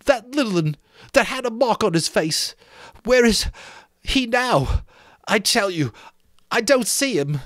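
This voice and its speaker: A man's voice: animated, a little slow, pauses often, a bit bright, no room sound, studio quality. A man voicing an indignant, panicky schoolboy outcast, whining and protesting in a dramatic novel reading. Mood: gasping alarm. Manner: shrill, whining, protesting, gasping delivery.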